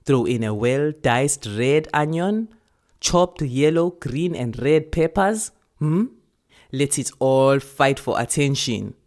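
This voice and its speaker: A woman's voice: extremely low pitch, animated, slow pace, a little mellow, exaggerated voice. A woman voicing a weary, loving old village grandmother, coaxing and teasing as she savours her words. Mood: playful, coaxing. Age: older. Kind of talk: storybook character voice.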